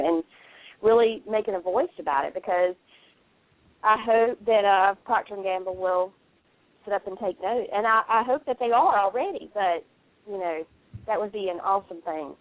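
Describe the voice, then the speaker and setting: A woman's voice: mid-range voice, dry recording. A woman chatting casually on a radio talk show, effusive and opinionated, talking at length over a phone line. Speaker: radio show panelist and soap fan. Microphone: telephone call. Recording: narrow, tinny phone line.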